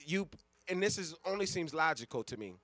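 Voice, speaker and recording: A man's voice: a little high, lively intonation, fairly quick, a bit bright, dry recording, soft background music. A man co-hosting a radio show in casual, speculative chat, talking in a rambling, profane and slangy way.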